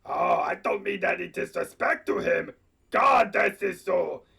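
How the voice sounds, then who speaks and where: Pompous, scornful old banker. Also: male voice, high voice, expressive, a little slow, gravelly, sharp tone, slightly rough, distant mic, exaggerated voice. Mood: scornful, haughty. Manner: pompous, declamatory. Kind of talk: live comedic radio play acting.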